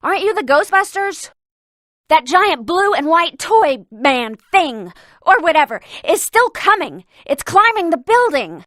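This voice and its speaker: An actress voicing a brisk, learned museum curator in a video game, speaking in an articulate, scholarly and sardonic way. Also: female voice, very high voice, very animated, a bit bright, dry recording, clean studio sound, heavily acted. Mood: urgent, alarmed. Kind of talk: scripted video game dialogue.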